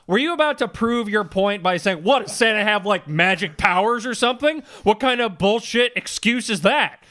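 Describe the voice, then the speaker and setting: Guy podcaster w a highish sharp voice, kinda mock-formal and wordy, joking commentary. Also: animated, normal speed. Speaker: podcast co-host. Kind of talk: casual comedic commentary.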